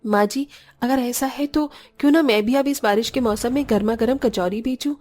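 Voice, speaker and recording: Woman acting earnest young mother, fairly high voice. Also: moderate pace, darkish tone, soft background music, natural voice. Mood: caring, hopeful. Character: poor, hardworking young widowed mother, earnest and caring. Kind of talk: cartoon story dialogue.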